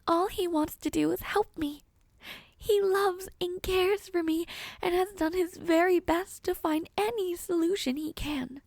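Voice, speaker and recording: Woman acting a high-voiced, ailing young princess. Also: no echo. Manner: earnest, formal storybook diction. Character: gravely ill young princess, gentle but resolute, pleading. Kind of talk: audio roleplay drama acting.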